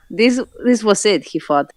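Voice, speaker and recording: A woman's voice: medium pitch, no echo. A woman reading stories aloud in an amateur, casual way, with amused side comments. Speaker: fanfiction reader and commentator.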